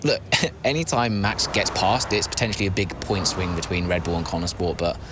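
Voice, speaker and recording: An actor playing a guarded, self-critical young racing driver, hesitant and measured, with faint vehicle noise behind. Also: male voice, low-pitched, close to an everyday voice. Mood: guarded, reflective. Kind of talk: naturalistic game acting of a media interview.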